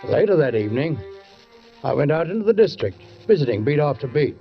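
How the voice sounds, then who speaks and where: An actor playing a suspicious, deliberate police sergeant who narrates a murder case in a 1940s radio drama, with measured, pointed pauses. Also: male voice, fairly high pitch, sing-song, loud music behind the voice. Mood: grim, ominous. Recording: dull 1940s radio recording.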